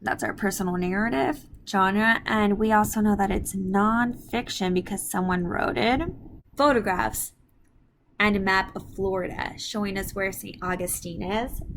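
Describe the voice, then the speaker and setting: Casting: female elementary teacher, mid-pitched, instructive, reading aloud and explaining to young pupils over slight background noise. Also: measured pace, rough recording. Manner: explanatory, instructive, addressing children directly. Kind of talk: read-aloud reading lesson for children.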